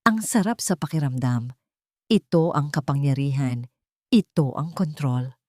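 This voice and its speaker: A woman's voice: deep voice, expressive, measured pace, darkish tone, no room sound, clean studio sound. A woman reading scripted dramatic fiction as an audiobook narrator.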